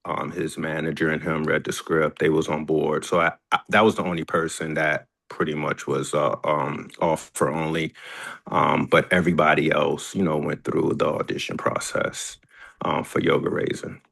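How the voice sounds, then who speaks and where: A man's voice: extremely low pitch, level tone, moderate pace, gravelly, fairly bright tone. A man in a casual question-and-answer chat as a filmmaker, speaking hesitantly and repeating words as he searches for details. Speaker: filmmaker and screenwriter.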